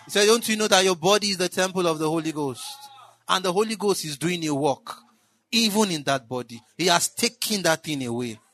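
Preacher with a raised voice. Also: male voice, high-pitched, animated, brisk. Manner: preaching that swells into shouted exclamations. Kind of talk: sermon. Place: church.

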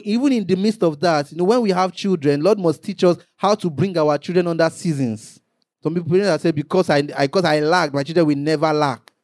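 A man's voice: on the high side, dark tone, no room sound, studio quality. A man, a pastor preaching a sermon, rising into emphatic bursts and acting out little quotes.

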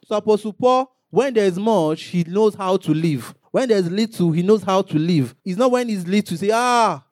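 A man's voice: high voice, lively intonation, normal speed, darkish tone, no echo. A man, a pastor preaching a sermon, rising into emphatic bursts and acting out little quotes.

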